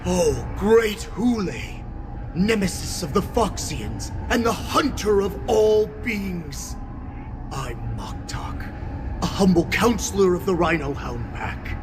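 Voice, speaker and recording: A man's voice: sits high, big pitch swings, slow pace, music in the background, heavily acted. An actor voicing a sly beast-man counselor in a video game, speaking deferentially and servilely to his lord. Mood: fawning.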